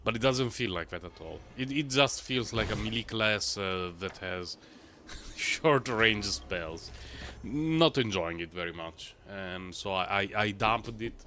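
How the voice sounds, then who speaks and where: A man livestreaming a game, talking in a rambling, chatty way full of fillers, his voice a little low and rough from a recent cold. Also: expressive, a little slow, bright, forceful tone, a little hiss or hum. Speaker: game streamer. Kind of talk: casual live-stream gameplay commentary.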